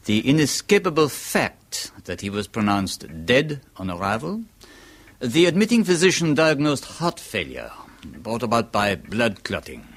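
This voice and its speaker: A suave French physician with a French accent, speaking in deliberate, softly theatrical phrases. His pitch swings widely as he lingers on each point. The delivery has the polish of 1970s radio drama. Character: suave older male French doctor, measured and wry.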